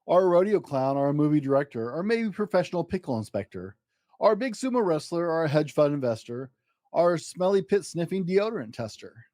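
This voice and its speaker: A man's voice: a little high, brisk, no room sound, studio quality. A man voicing an exuberant, boastful eight-year-old schoolboy in a theatrical, rhyming picture-book story. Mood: insistent, excited. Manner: excitable, grandiose, theatrical.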